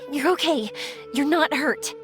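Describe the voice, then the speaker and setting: High-voiced, caring young heroine. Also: female voice, a little slow, over music. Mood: gentle, reassuring. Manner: gentle, caring. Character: kind, reassuring young woman helping a lost child. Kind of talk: video game voice acting.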